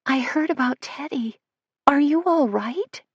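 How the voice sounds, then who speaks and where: A woman's voice: lively intonation, measured pace, no echo, highs cut off. A woman reading the lines of a flustered young female assistant, her voice raised and words tumbling out. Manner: breathless, words tumbling out, higher pitched.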